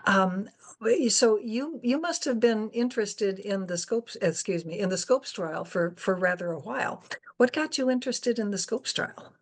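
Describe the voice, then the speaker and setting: A woman's voice: mid-range voice, expressive, normal speed. An older woman acting as moderator, introducing and interviewing a guest.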